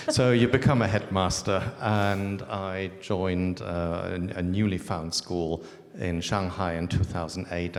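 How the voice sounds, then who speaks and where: A middle-aged man with a German accent, speaking as a head of school on a panel, wry with humorous asides. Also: deep voice. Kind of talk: panel discussion on stage. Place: event hall before an audience. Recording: reverberant event hall.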